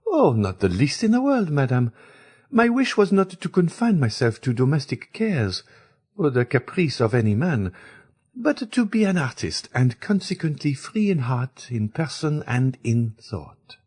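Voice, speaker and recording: A man doing a proud young woman who wants to be free, speaking high and with firm emphasis. Her lines leap widely in pitch over a breathy, husky tone, as the narrator puts her on. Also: sing-song, normal speed, a little mellow. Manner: emphatic, clipped refusals. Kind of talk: audiobook character reading.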